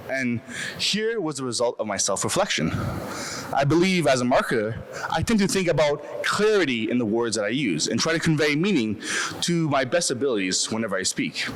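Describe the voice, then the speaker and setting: Young man from Canada, earnest prepared talk, voice rising and falling freely, faint hiss of room ambience under it. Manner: earnest, measured delivery with lively pitch. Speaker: speaker giving a personal talk.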